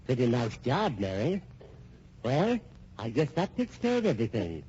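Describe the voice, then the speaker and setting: A man's voice: mid-range voice, huge pitch swings, moderate pace, mellow. A man playing a vengeful, gloating schemer in an old-time radio thriller, theatrical, menacing and taunting. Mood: smug, vindictive. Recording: dull, noisy old radio recording.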